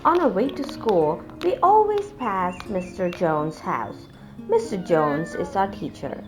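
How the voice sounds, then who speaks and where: A woman reading a children's storybook aloud with expressive, deliberate, sing-song emphasis over music. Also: on the low side. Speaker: storybook reader. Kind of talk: animated children's read-aloud narration. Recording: music playing behind the voice.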